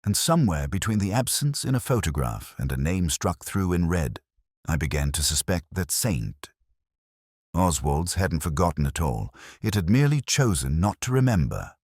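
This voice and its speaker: A man with a British accent narrating a detective story in the first person, measured, brooding and wry. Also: deep voice, very animated, no room sound, clean studio sound. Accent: British English.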